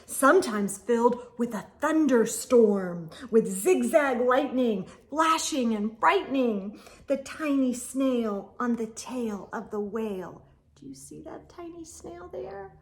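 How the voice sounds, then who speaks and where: A woman storyteller reading a children's picture book aloud in a dramatic, expressive way for young listeners. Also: on the high side, takes it slowly. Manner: dramatic, drops into whispers, addresses young listeners.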